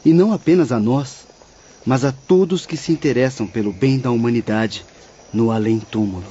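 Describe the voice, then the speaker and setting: A man playing a gentle, earnest spiritual teacher, speaking in a solemn, formal way in an audiobook drama. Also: mid-range voice, moderate pace, husky, natural voice. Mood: sorrowful. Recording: dull lo-fi audio under music.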